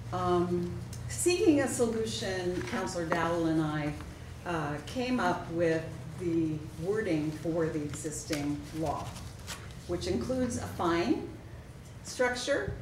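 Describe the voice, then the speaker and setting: A woman speaking as a city councilor, giving an informal presentation at a public meeting in halting, measured phrases. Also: on the low side. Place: indoor council meeting room. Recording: echoey, noisy meeting room, distant mic.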